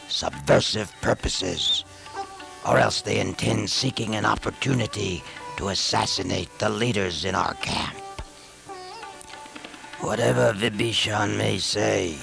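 A man playing a stern, suspicious monkey king and commander, speaking gravely and deliberately with a gravelly voice in an epic story. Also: on the low side, fairly bright tone, faint music behind, heavily acted. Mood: suspicious, urgent.

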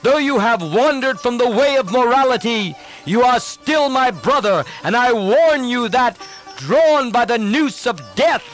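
A wise royal brother pleads with the king, as a male narrator puts him on. His voice is raised and earnest, rising and falling widely. He keeps an unhurried pace. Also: very high voice, lively intonation, a bit bright, soft background music, pitched above the performer's own voice, heavily acted, poor audio. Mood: pleading. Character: earnest wise uncle and royal brother, middle-aged man.

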